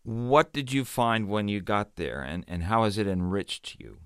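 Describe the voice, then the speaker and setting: A man's voice: fairly low pitch, very animated, a little slow, fairly bright tone, clean tone, no echo, clean studio sound. A man working as an interviewer, putting questions to a guest.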